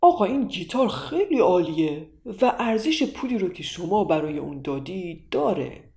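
A woman putting on a gruff fat judge. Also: lowish voice, big pitch swings. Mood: stern. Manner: loud and commanding. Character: fat, grumpy male town judge.

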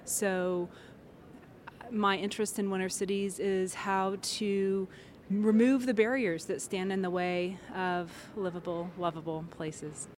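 A woman's voice: medium pitch, flat delivery, slow, slight background noise. A woman in an interview, the director of an urban design firm, explaining her ideas and thinking aloud with restarts. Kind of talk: interview answers.

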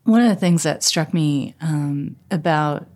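A woman's voice: low voice, unhurried, darkish tone. A woman hosting a casual, conversational interview. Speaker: interviewer, host.

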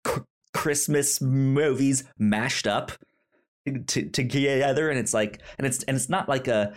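A podcast host chatting casually about films in a halting, stammering way, often repeating words. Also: mid-range voice. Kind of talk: casual film review chat.

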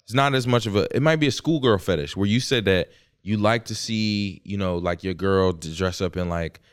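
A man hosting a casual podcast, relaxed and quick to laugh, with plenty of swearing. His voice sits on the lower side. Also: smooth, no room sound, clean studio sound. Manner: laid-back, laughs a lot, heavy swearing. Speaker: podcast host.

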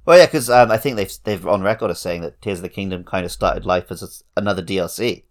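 A man's voice: sits low, quick pace. A man co-hosting a podcast, chatting casually about video games in a conversational, explanatory way. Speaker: podcast co-host.

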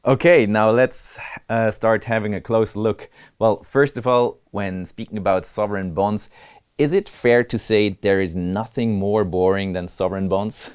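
A man's voice: fairly low pitch, unhurried, darkish tone, clean tone. A man hosting a podcast interview, friendly and teasing. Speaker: podcast host.

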